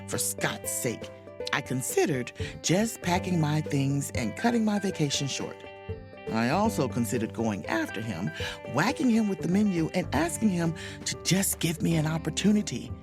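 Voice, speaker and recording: A proud, easily offended chief mate confiding in her diary in an audio drama. Her voice sits low for a woman and swings widely with wry, theatrical emphasis, over faint music. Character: proud, indignant, self-dramatizing spaceship chief mate on vacation.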